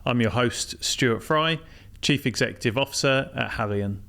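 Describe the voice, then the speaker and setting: A man's voice: medium pitch, normal speed, vocal fry, fairly bright tone. Polished male podcast host. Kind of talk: scripted intro, then interview questions.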